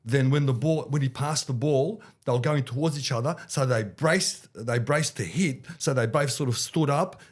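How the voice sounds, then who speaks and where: A man with a head cold, a little nasal, rambling excitably in casual sports chat as a podcast co-host. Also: medium pitch, moderate pace, few pauses.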